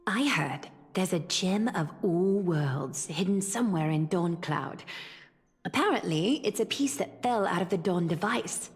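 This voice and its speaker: A woman playing a wise, regal, gentle female mentor in a video game, speaking in a measured, knowing way. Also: fairly low pitch. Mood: knowing, composed. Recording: reverberant hall, music underneath.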